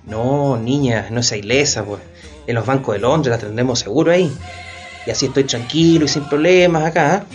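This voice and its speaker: An actor playing a lazy, complacent rich husband in a radio drama, talking in a casual, colloquial way. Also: male voice, mid-range voice, fairly quick, soft background music, close to an everyday voice. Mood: smug, flirty.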